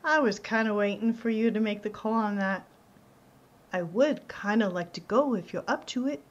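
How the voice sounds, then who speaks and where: A woman's voice: medium pitch, moderate pace, dull audio, lightly acted. A woman playing an affectionate, wry wife in a radio play. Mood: coaxing. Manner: wry, teasing. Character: teasing, affectionate working-class Irish-American wife.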